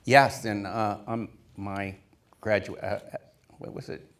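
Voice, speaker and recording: A man in his fifties with a lowish voice speaks on a theater stage panel. He rambles through his anecdotes, full of "uh" and "you know", holding a handheld mic. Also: steady tone, slow. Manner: rambling, many fillers and restarts. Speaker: production designer on a panel. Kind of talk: casual storytelling on a panel. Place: theater stage before an audience.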